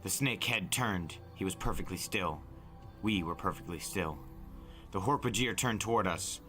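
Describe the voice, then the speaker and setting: A man narrating a story dramatically, like an audiobook, intense and deliberate in his phrasing. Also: deep voice, pushed and bright, faint music behind.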